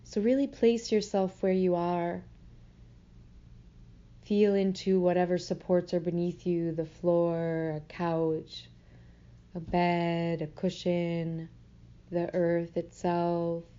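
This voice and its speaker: Woman, meditation guide, lowish pitch, soft mellow tone, gentle and soothing, long pauses, slightly dull recording. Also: flat delivery, smooth.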